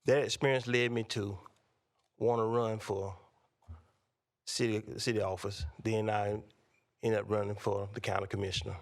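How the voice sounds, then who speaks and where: A man, introducing himself as a candidate at a local forum in a measured, plainspoken way. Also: lowish voice, flat delivery. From North Carolina, USA. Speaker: candidate for county commissioner.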